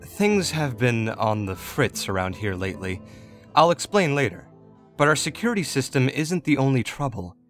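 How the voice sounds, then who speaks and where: An actor voicing a composed, authoritative male archangel with a measured, formal manner in an audio drama. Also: mid-range voice, big pitch swings, soft background music, lightly acted. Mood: businesslike. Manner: measured, formal, quietly commanding.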